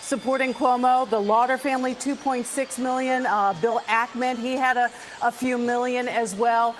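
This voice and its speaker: A woman anchors live TV election coverage in a somewhat high voice. She talks through the results conversationally, with faint music behind her. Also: steady tone, normal speed, poor audio. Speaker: TV news anchor.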